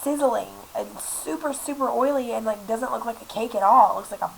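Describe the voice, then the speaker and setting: Young woman vlogger, sick and stuffed up, gravelly voice, chatty, rambling, filler-heavy, recorded on a laptop webcam mic with faint hiss. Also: on the high side, a little fast, low-quality recording. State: sick, congested with a cough. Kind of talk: casual chat to camera. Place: small apartment room indoors.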